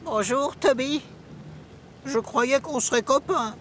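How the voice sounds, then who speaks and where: A woman voicing an excitable, friendly young male boxcar engine in a dubbed children's cartoon, with a bubbly manner. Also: sits high, slow pace, a little hiss or hum. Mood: eager. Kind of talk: cartoon dub acting. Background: music.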